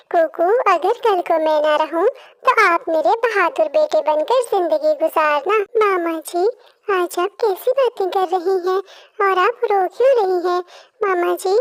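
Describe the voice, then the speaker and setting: Lady doing a little cartoon bird, super high voice, really dry close sound. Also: dry recording. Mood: childlike, earnest. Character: small devoted mother bird and her little chick. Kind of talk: Hindi children's cartoon voice acting.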